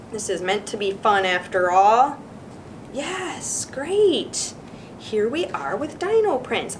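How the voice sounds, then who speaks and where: A woman with a fairly high voice leads a casual craft demonstration for children. She talks in an informal, encouraging way as she explains each step. Noticeable background noise with a low rumble sits behind her voice. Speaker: children's librarian hosting a craft session.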